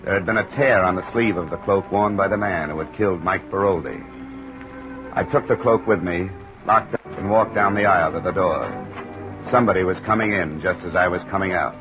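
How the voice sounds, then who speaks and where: An actor with an American accent playing a tough, wry investigator in a radio detective drama, clipped and matter-of-fact. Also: male voice, low voice, fairly quick, over music, lightly acted, low-quality recording. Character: tough, wry freelance insurance investigator narrating his case. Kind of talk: 1950s radio detective narration and dialogue.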